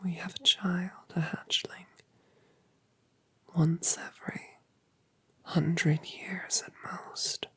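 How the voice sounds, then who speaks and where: Low, breathy, whispering dragon mom, gentle and cooing; woman actor. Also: flat delivery, slow pace, long pauses, heavily acted. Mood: tender. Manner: cooing, affectionate, asks gentle questions. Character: colossal motherly dragoness, doting and gentle. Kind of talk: whispered ASMR roleplay.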